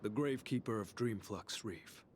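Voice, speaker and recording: A man playing a polite, unassuming gravekeeper in a video game, speaking in a formal, measured way. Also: lowish voice, a little hiss or hum, natural voice. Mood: courteous, calm. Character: polite unassuming male gravekeeper of a dream refuge. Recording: game music behind the voice.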